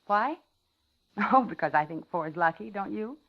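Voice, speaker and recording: An actress playing a polite, lonely older widow in a 1950s radio thriller, talking and narrating in a genteel, dramatic way. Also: female voice, medium pitch, lively intonation, moderate pace. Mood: genteel, coaxing. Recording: dull 1950s radio recording, music behind.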